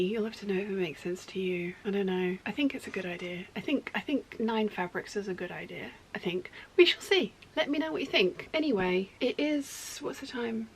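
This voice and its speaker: A woman chatting casually in her sewing studio, rambling and correcting herself, her voice going hoarse. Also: lowish voice, slight background noise. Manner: rambling, self-correcting, talkative. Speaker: sewing vlogger.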